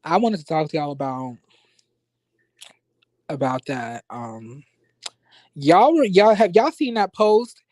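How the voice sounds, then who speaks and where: Sassy higher-voiced American man. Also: slow, long pauses, dry recording. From United States, born in rural Florida and raised in Las Vegas. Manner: sassy, slangy, expressive, conversational. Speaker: YouTube reviewer and livestream host. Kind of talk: casual livestream chat with viewers.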